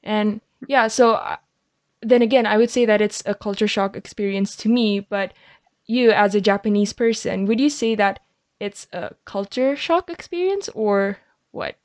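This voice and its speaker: A woman's voice: mid-range voice, moderate pace, a little mellow. A young woman asking questions in a casual conversation, curious and chatty with lots of fillers. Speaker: interviewer.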